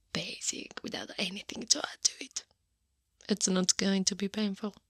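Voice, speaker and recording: An Italian woman playing a tall, teasing Krampus monster woman in a soft, breathy ASMR roleplay, often dropping into a whisper. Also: fairly low pitch, dry recording, exaggerated voice. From Italy. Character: eight-foot horned furry Krampus woman, teasing, coaxing, in control.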